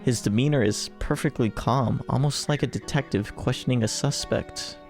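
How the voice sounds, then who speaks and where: A man's voice: medium pitch, moderate pace, a little mellow, soft background music. A man reading a visual novel aloud and adding casual, sweary commentary as a gamer. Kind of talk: read-aloud narration with casual joking commentary.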